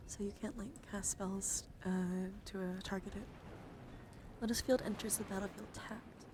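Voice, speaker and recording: Female ASMR streamer, soft and soothing, drifting into whispers, close-miked with clear background noise. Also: medium pitch, fairly even intonation, normal speed. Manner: soft-spoken, often dropping into whispers; gentle, soothing, encouraging. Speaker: livestream host showing trading cards. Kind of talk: ASMR, soft casual chat.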